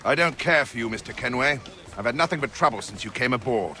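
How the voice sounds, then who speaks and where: Gruff, forceful ship's captain. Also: male voice, medium pitch, lively intonation, quick pace, a little hiss or hum. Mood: stern, grudging. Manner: gruff, commanding, blunt. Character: ill-tempered, stern sailing ship captain. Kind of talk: video game cutscene acting.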